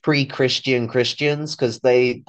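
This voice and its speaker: A man's voice: mid-range voice, fairly even intonation, slow. A young adult man in casual political discussion, rambling and swearing freely. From Ireland. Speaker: political forum guest. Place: small room at home, video call. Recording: dull lo-fi call audio.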